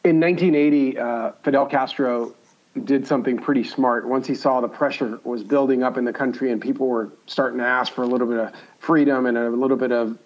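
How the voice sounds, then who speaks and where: Male interview guest, mid-pitched, conversational storyteller who repeats for emphasis, slightly dull lo-fi recording. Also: fairly even intonation, brisk. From born in Cuba, grew up in the United States. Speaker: podcast guest, entrepreneur.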